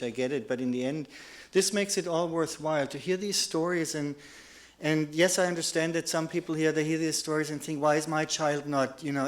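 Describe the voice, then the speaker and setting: A middle-aged man with a German accent speaks to an audience in a lecture hall. His voice sits slightly high for a man. He is earnest and often repeats a word before going on. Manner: earnest, with many restarts and repeated words. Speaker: psychiatrist giving a lecture. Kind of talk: conference lecture.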